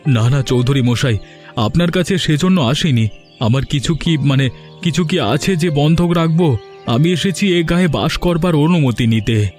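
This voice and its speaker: A man's voice: on the high side, moderate pace, a little mellow, soft background music, close to an everyday voice. A man voicing a humble, hopeful poor village father in a story cartoon, earnest and deferential. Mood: humble pleading. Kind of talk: Bengali moral story cartoon dubbing.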